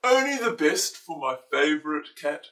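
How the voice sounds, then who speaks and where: A woman's voice: deep voice, very animated, normal speed, fairly bright tone, recorded from a distance, voice pushed down. A woman voicing a jovial, friendly, big-bellied male butcher in a storybook, with a hearty, teasing delivery. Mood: jovial, generous.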